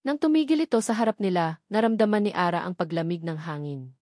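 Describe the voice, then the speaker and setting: A woman's voice: lowish voice, animated, quick pace, a little mellow, no echo, clean studio sound. A woman reading a scripted story as a dramatic narrator.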